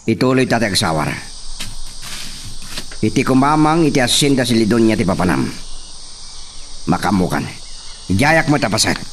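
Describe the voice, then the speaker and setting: A man's voice: lowish voice, big pitch swings, normal speed, pauses often. A man with an Ilocano accent playing a determined young hacienda master who gives orders, in a radio drama. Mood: commanding. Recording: distant mic, faint noise with insect sounds.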